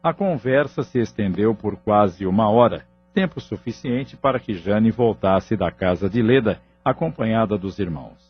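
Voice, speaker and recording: Low, husky Brazilian male narrator. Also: lively intonation, moderate pace, hardly any pauses, dull audio. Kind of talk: scripted narration of an audio drama.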